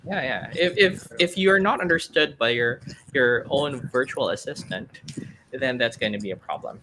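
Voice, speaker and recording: A man's voice: moderate pace, background noise. Chatty man coaching test takers. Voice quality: fairly high-pitched for a man. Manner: explanatory, friendly, thinks aloud with many fillers. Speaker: PTE speaking coach. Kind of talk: live webinar Q&A.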